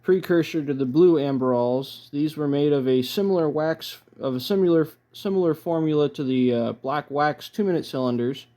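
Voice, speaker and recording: A man giving casual, low-key unboxing commentary as a collector, halting often as he thinks aloud. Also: warm tone. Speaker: record collector presenting his haul.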